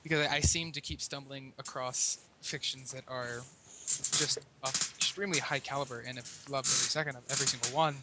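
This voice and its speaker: A man's voice: medium pitch, fairly even intonation, a little fast. A man on a podcast panel chatting in an earnest, wordy, reflective way. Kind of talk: casual chat. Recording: noisy hiss behind voice.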